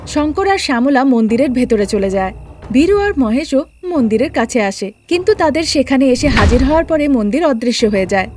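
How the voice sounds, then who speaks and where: A woman storyteller with a fairly high voice reads a scripted cartoon tale. Music plays under her narration. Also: animated, fairly quick.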